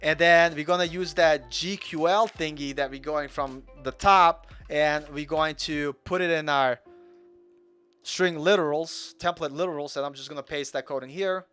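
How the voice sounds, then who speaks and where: A man's voice: on the high side, steady tone, moderate pace, a bit bright, smooth, soft background music. A young man from Russia giving a casual, rambling live coding walkthrough as the show's host, chatty and self-deprecating. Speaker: coding tutor.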